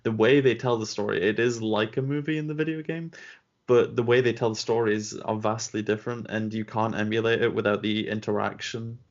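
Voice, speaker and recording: A man co-hosting a podcast, giving measured opinions in casual discussion and often laughing. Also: on the low side, steady tone, muffled recording. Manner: measured, laughs often, rates things out of ten. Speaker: podcast co-host.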